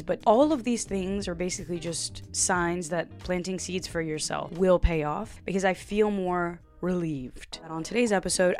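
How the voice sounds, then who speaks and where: Brief: woman, 30s, podcast host, sassy and playful, lowish voice, faint music under her. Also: darkish tone. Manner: sassy and playful, slangy asides. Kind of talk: podcast intro and interview.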